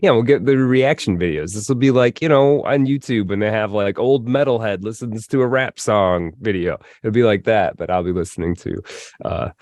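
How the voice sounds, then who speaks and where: A man's voice: on the low side, moderate pace, darkish tone, dry recording. A man co-hosting a podcast, chatting casually with wry, laid-back humour. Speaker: podcast co-host.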